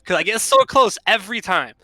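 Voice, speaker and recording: A man's voice: high-pitched, a little fast, pushed and bright, no room sound. A man giving casual live analysis and banter as a commentator on a video game match.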